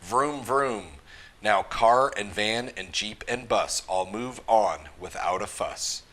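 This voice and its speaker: A man with a fairly low voice reads a children's story aloud. His delivery is rhythmic and emphatic, leaning into the rhymes as a storyteller for young listeners. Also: expressive, takes it slowly, sharp tone. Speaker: school mascot reading a picture book to children.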